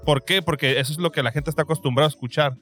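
A Mexican man in his forties talks in a rambling, slangy podcast chat, often restarting his sentences. He has a Mexican accent and a voice that sits a little high. Faint music plays behind him. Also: very fast, fairly bright tone. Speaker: podcast host. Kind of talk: casual chat.

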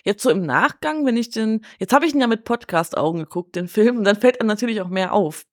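A woman's voice: mid-range voice, expressive, quick pace, no room sound, studio quality. A woman speaking in a casual film discussion, retelling things colloquially and acting out reactions. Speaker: podcast guest discussing a film.

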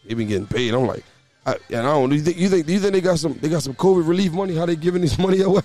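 A hoarse man hosting a casual talk show, often stammering and repeating his words as he speaks outdoors. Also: on the high side, animated, rapid-fire, darkish tone. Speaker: podcast host and youth basketball coach. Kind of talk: casual chat. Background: faint background music.

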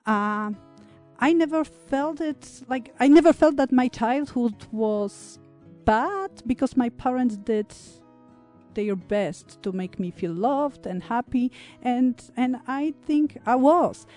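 A woman in her thirties from Poland, an English speaker, talking reflectively as an interview guest, with many pauses and fillers. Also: high voice, warm tone, soft background music. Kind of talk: conversational interview.

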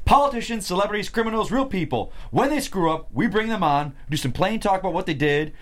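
A man at a screenplay table read, playing a brash, pushy TV producer pitching a show. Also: expressive, quick pace. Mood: brash, excited.

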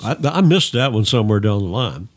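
A man's voice: on the low side, very animated, brisk. An older man with an American accent, chatting casually through music news as a podcast co-host.

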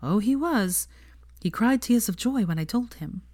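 A prim, earnest young expectant mother in audiobook dialogue , acted by a woman reader. Her voice sits lifted and soft, with a light lilt and an awkward warmth. The sound is close and dry. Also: a little high, expressive, measured pace, no echo, voice pushed up. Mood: amused.

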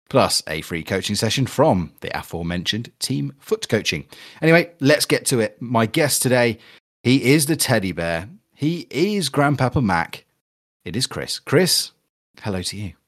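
A man's voice: lowish voice, animated, normal speed, a bit bright. A man hosting a casual gaming podcast, chatty and upbeat as he reads plugs and talks strategy. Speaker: podcast host. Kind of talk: casual podcast chat about a football video game.

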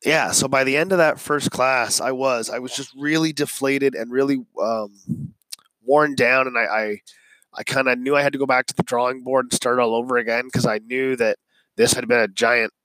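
A man's voice: mid-range voice, fairly even intonation, normal speed, a bit bright, dry recording, rough recording. A male teacher casually recounting a classroom story, rambling with many fillers and restarts and quoting himself along the way.

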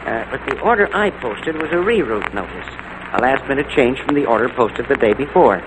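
An actor playing an uneasy, polite company boss in a 1940s radio drama, hesitant and apologetic. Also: male voice, medium pitch, lively intonation, a little fast, lightly acted. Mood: defensive, uneasy. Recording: noisy old-time radio recording.

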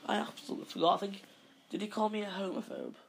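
A man hosting a casual podcast who rambles through his monologue. His voice is croaky, and he keeps correcting himself. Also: high-pitched, fairly even intonation, fast talker, slight background noise. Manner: rambling, with frequent self-corrections. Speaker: podcast host.

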